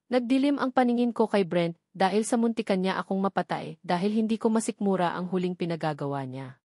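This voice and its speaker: A woman's voice: mid-range voice, darkish tone, no room sound, clean studio sound. A Filipino woman reading a scripted first-person story as the narrator of an audio novel.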